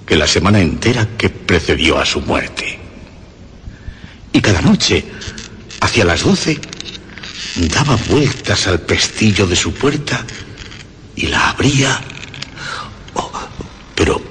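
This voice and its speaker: Low, nervous, obsessive madman. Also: sing-song, a bit bright, full character voice. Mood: boastful, feverish. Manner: agitated, emphatic, halting repetitions. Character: nervous, obsessive male murderer insisting he is sane. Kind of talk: dramatic radio horror monologue. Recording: long reverb, faint background noise.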